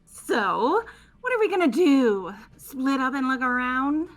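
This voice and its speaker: A woman's voice: high-pitched, sing-song, takes it slowly, faint music behind, full character voice. A woman playing a gleefully creepy, eccentric young woman in a fan game dub, with a theatrical, teasing delivery. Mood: questioning. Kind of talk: amateur game voice acting.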